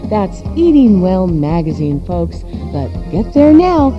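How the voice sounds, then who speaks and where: A woman radio host reads live ads over clear background music. Her fairly low voice swoops widely, and she stretches words out in a playful, sultry way. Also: very animated, lo-fi sound. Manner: sultry, playful, drawn-out delivery. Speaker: radio show host and ad reader. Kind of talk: live-read radio ad patter. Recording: music behind the voice.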